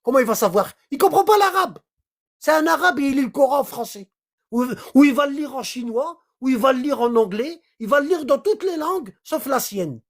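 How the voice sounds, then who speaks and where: A livestreamer in casual commentary, explaining scripture in an animated way and often checking in with short questions to the listener. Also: sits high, fairly quick. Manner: animated, acts out little dialogues, keeps checking in with "hein?" and "d'accord?". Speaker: livestreamer explaining Quran verses.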